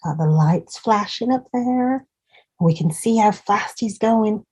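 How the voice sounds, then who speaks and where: A woman reads a picture book aloud to small children at an unhurried pace. Her voice is mellow and a little husky, and she adds encouraging asides about the details as a storytime host would. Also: mid-range voice, expressive. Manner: encouraging, pointing out details to young listeners.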